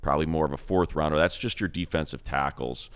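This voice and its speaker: A man with an extremely low, smooth and resonant voice talks football in a casual co-host chat. He rambles in an animated way, reels off long strings of player names and often restarts his sentences. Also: fast. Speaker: co-host, team radio play-by-play announcer.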